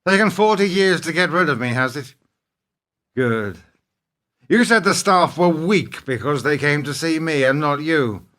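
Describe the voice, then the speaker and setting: An actor playing a wounded, principled middle-aged businessman in a radio play, speaking in a halting, wry, pointed way. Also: on the high side, expressive, a bit bright. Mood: bitter, wry. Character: wounded, principled businessman confronting his treacherous partner.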